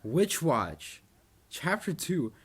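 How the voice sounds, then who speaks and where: Male podcast co-host, slightly high-pitched. Casual, foul-mouthed, teasing banter. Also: very animated, extremely slow, breathy edge. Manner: sweary, teasing. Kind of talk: casual banter about manga.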